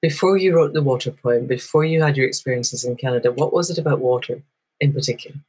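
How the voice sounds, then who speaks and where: A woman's voice: rumbling low, fast, a little mellow, breathy edge, low-quality recording. A woman hosting an interview, asking curious, encouraging questions. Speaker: podcast host.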